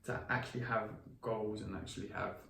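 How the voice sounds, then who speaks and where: A man's voice: fairly low pitch, fairly even intonation, brisk, creaky, a bit bright. Young man, casual podcast chat, rambling restarts, faint room hum. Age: 20s. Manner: rambling, many restarts and fillers. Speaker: podcast co-host. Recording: echoey room, mic at a distance, faint ambience.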